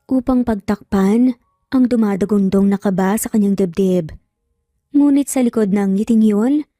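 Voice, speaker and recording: A woman's voice: mid-range voice, mellow, studio quality. A woman with a Filipino accent narrating a scripted romance story in a dramatic storytelling style. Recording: roomy echo, faint music behind. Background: faint background music.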